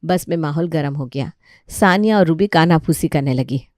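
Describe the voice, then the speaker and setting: A woman's voice: sits low, moderate pace, darkish tone, no echo, clean studio sound. A woman narrator reading a scripted family story.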